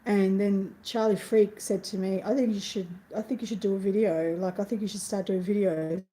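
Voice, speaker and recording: Middle-aged woman, live-stream host; mellow tone, rambling and effusive, sniffly and teary. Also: medium pitch, steady tone, normal speed, rough recording. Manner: gushing, emotional, rambling with many restarts. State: tearful and sniffly. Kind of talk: casual live-stream chat.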